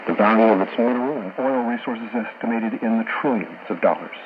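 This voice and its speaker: A man working as a radio host and journalist, reading scripted discussion in a measured, formal, informative way over shortwave radio. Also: fairly low pitch. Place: radio studio, heard over a shortwave receiver. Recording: muffled noisy shortwave radio broadcast.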